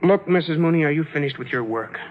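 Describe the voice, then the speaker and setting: A man playing a bewildered, desperate widowed husband in 1950s radio drama, speaking in anguished, halting self-questioning. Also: a little high, expressive, a little hiss or hum, lightly acted. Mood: awkward, curt. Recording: dull vintage radio recording, loud music behind.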